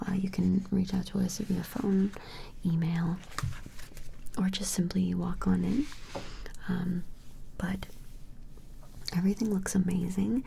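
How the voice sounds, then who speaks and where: A woman performs an ASMR role play in a soft, breathy whisper full of heavy fry. She speaks very slowly and gently, with hesitant, reassuring phrasing. A faint hiss sits behind her. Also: medium pitch. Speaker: ASMR performer playing an appraiser taking measurements.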